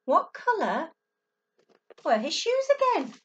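A woman in her thirties, a teacher, reading a picture book aloud to a child at home, with animated storytelling and dramatic gasps and exclamations. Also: extremely high pitch, a little slow. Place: small room at home. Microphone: laptop webcam at table distance. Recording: dull lo-fi webcam audio from a distance.

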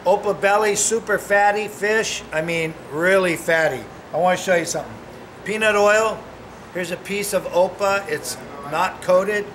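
A man's voice: high voice, normal speed, fairly bright tone, a little hiss or hum. A man in his fifties, a fishmonger giving an upbeat, joking cooking demo in a small shop kitchen, recorded on a camera mic. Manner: upbeat, joking, salesman-like chatter. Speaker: fishmonger demonstrating seafood.

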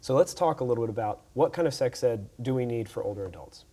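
A man's voice: mid-range voice, animated, quick pace, dark tone, breathy edge. A man moderating a studio panel discussion, posing questions as the host. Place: TV studio round table.

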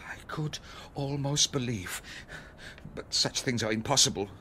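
An actor playing a grieving, forgetful writer in a radio drama, halting and distracted. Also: male voice, medium pitch, animated, a little hiss or hum. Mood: troubled, vengeful. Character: grieving, forgetful, haunted male widower and writer. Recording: loud music behind the voice.

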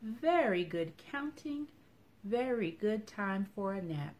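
A woman's voice: medium pitch, expressive, slow pace, a little mellow. A woman hosting a storytime for young children, talking to them in simple, instructive phrases. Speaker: children's library storytime host.

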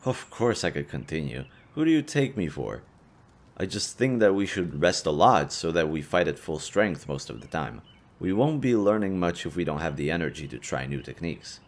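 A man's voice: sits low, big pitch swings, moderate pace. A man playing an easygoing young half-demon adventurer, talking casually to a best friend in an audio roleplay. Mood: relaxed, teasing. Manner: casual, teasing, chatty. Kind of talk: intimate audio roleplay acting.

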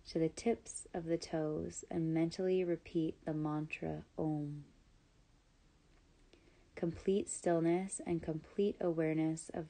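A woman guides a relaxation practice from a script in a soft-edged, very mellow voice. Her pitch sits a little low and she moves very slowly. The manner is calm, measured and soothing, with room left between instructions. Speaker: yoga nidra guide.

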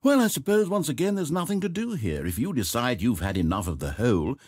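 A man's voice: mid-range voice, very animated, fast, a little mellow, breathy edge, no room sound. A man voicing a sardonic, fussy video game narrator, passive-aggressive and dry in manner, recorded in a dry studio. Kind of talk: scripted game voice-over.

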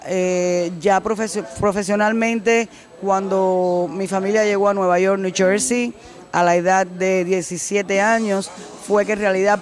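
A middle-aged woman from Cuba, a singer answering interview questions in a casual way, animated and repeating phrases for emphasis, into a handheld mic in a restaurant. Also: medium pitch. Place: decorated restaurant, indoors. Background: faint music.

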